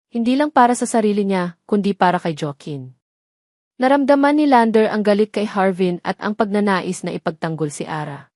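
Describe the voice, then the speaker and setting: A woman's voice: medium pitch, a little mellow, no room sound, clean studio sound. A woman reading a scripted story as the narrator of an audio drama.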